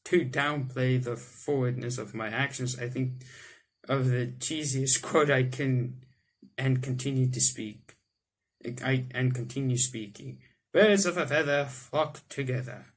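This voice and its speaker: A young man hosting a gaming video, reading the game's text aloud and chatting about it with restarts and quick laughs. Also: medium pitch. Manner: chatty, self-correcting, breaks into laughs and reactions. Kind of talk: let's-play reading game text aloud with comments.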